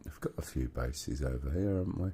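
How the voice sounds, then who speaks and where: Hoarse, very deep-voiced man. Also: a little fast, dark tone. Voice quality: rumbly. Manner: muses aloud to himself while checking a map. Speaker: streamer. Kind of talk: casual gaming livestream chat.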